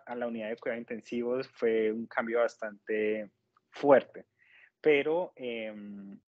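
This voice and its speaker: A man in his thirties with a Colombian accent, politely recounting his experience as an interview guest in a measured, explanatory way. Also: mid-range voice, no room sound, highs cut off. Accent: Colombian Spanish. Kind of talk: conversational personal account.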